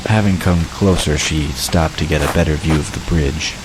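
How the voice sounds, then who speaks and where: A man's voice: very deep voice, normal speed. A man reading scripted story narration for an audio drama, with watery ambience behind him. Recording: constant rain-like hiss behind voice.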